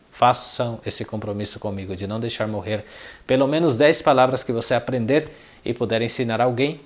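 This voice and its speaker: A man from Paraguay with a Paraguayan accent, a non-native speaker giving an informal lesson to viewers in a didactic way, repeating words and posing questions. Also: mid-range voice. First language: Spanish and Guarani. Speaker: language teacher and video channel host.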